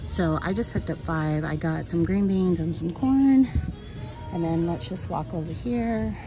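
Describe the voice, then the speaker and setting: A woman's voice: on the low side, a little slow, rough recording. A woman filming her grocery shopping in a supermarket, talking casually to viewers about sale prices. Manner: chatty, practical, addresses viewers as y'all. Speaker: grocery haul vlogger. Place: supermarket aisles. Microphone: handheld phone camera. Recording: music playing in the store. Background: store background music.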